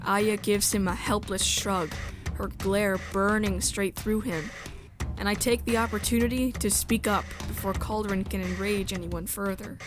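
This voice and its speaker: A woman's voice: mid-range voice, close to an everyday voice. A woman playing a sensitive, resolute outsider who narrates a space drama in the first person.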